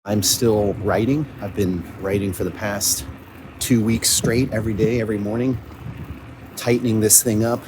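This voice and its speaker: A man speaking casually in a self-recorded video diary on location, thinking aloud in a halting way with repeated words. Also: fairly low pitch, steady tone. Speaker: audio drama writer and producer. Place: coffee shops and outdoors, on location. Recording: noisy on-location recording with ambient sound.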